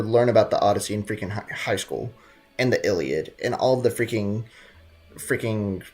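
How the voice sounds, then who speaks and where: A man with a fairly average-pitched voice gives casual, joking game stream commentary. He chats with viewers in loose slang. Soft game music plays behind him. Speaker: live streamer.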